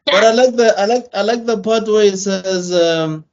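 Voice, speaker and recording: High-voiced man, slangy podcast chat. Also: normal speed, highs cut off. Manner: casual slang, restarts, thinking aloud. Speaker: podcast co-host. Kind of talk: casual chat reviewing a cartoon.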